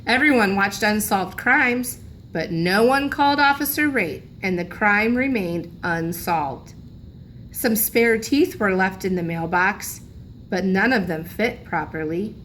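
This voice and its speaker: A woman's voice: mid-range voice, animated, a little slow, a bit bright, a little hiss or hum. A woman reading a children's storybook aloud like a teacher, expressively, lingering on key phrases for emphasis.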